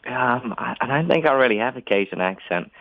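A man's voice: mid-range voice, expressive, a little fast, dry recording. A man in his twenties with an English accent, a jockey answering interview questions modestly and a little hesitantly over the phone. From England. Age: 20s. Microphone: telephone. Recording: muffled, narrow phone line.

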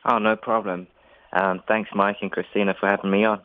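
Low-voiced young Englishman on a phone line. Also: flat delivery, a little fast, no echo. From England. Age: 20s. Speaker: jockey, interview guest. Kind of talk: casual interview over the phone. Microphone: telephone. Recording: muffled, narrow phone line.

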